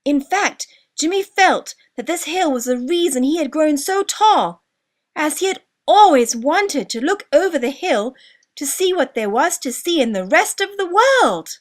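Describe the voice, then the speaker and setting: High-pitched, animated woman storyteller. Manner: expressive, deliberate storybook delivery with drawn-out words. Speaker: author narrating own story. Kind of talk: children's storytelling, scripted narration.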